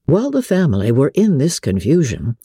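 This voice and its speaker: A woman's voice: rumbling low, wildly swooping pitch, fairly quick, warm tone, husky, no room sound, studio quality. A woman reading classic literature aloud as a dramatic, scripted audiobook narration. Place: dry studio.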